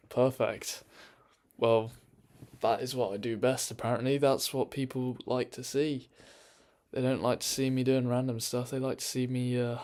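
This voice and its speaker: A man's voice: medium pitch, flat delivery, a little slow, a little mellow. A man chatting casually on a live stream from his bedroom, rambling with lots of ums and restarts.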